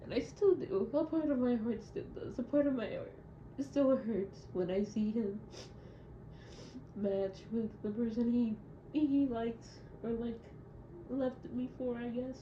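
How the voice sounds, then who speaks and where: Young woman, higher-pitched voice, halting confessional monologue, reflective, very noisy recording. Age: young adult. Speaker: audio diarist. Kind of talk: confessional audio diary monologue. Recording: muffled, echoey room with loud noise.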